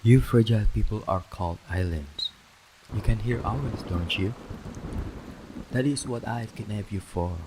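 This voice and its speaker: A man's voice: on the low side, noisy background, lightly acted. A man playing a menacing, taunting villain who feeds on life force, in an amateur radio drama. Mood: menacing, gloating. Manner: taunting, theatrical, deliberate.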